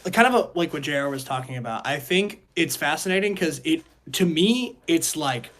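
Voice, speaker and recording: A man co-hosting a casual podcast discussion, talking in an animated storytelling way and acting out imagined reactions. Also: fairly high pitch, normal speed. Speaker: podcast co-host.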